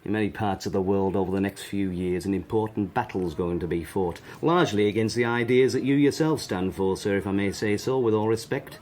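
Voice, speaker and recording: A man acting a British army sergeant in a radio drama, with a lowish voice. He is polite and deferential to officers, measured in delivery, with a quietly scheming air. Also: slight background noise, lightly acted. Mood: cold, earnest.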